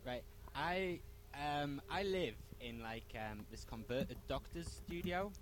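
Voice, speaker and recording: A man's voice: a little high, animated, slow. A young man, chatting casually at home as a podcast co-host, wry and sweary in his storytelling. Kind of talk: casual chat.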